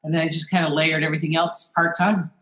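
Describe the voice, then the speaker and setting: A woman in her fifties, a guest author and attorney, talking in a brisk, confident, matter-of-fact way. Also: sits low, little pitch movement, fairly bright tone, echoey room. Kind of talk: casual interview chat.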